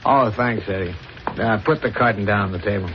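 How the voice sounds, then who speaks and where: An actor playing a stern homicide inspector, businesslike and probing, in a 1970s radio drama. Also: male voice, on the low side, brisk, slight background noise, lightly acted. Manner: businesslike, interrogating. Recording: dull, lo-fi old radio recording.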